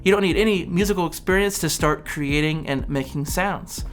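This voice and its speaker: A man's voice: on the high side, moderate pace. A man presenting an instructional product demonstration, explaining things step by step.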